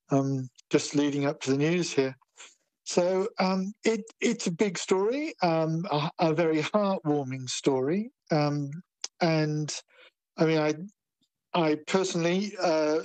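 A middle-aged man from Australia speaks as an academic guest in a radio interview, thinking aloud with many restarts and pauses. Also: fairly high pitch, darkish tone, no room sound, poor audio. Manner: hesitant, many fillers and restarts.

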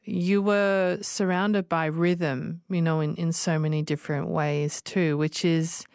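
Encouraging woman radio host, low voice. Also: little pitch movement, slow pace, no room sound, studio quality. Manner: warm encouraging, many fillers. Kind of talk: casual radio interview. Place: radio studio.

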